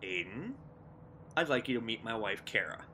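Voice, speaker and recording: A man's voice: on the low side, very animated, moderate pace, sharp tone, a little hiss or hum. A man playing a jocular, needling dockworker husband in a radio play. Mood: curious. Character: joking, impatient dockworker husband, a wisecracker.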